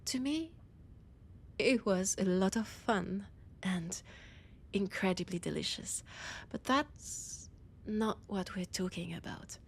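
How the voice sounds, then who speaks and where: Woman playing a slow, whispery French vampire scholar. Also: mid-range voice, expressive, a little hiss or hum. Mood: fond, amused. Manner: slow, deliberate, often dropping into breathy whispers. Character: ancient female French vampire scholar, proud, teasing, predatory. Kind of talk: scripted roleplay audio drama monologue.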